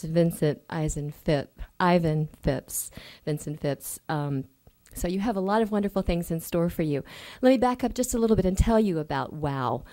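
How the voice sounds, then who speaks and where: A woman's voice: deep voice, mellow. A woman emceeing an event, reading out names and an introduction, stumbling over a few names.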